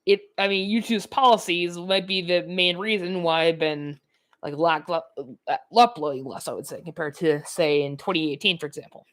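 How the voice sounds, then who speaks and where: A woman's voice: on the low side, normal speed, fairly bright tone. A nineteen-year-old woman giving rambling, chatty commentary while playing a video game, full of "like" fillers and frequent laughs. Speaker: gaming YouTuber. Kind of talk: rambling let's-play commentary.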